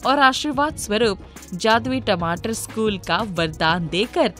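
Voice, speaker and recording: A woman narrates a scripted moral tale in the manner of a storybook reader. Her voice sits at a medium pitch, with music playing underneath. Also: lively intonation, unhurried, fairly bright tone. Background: background music.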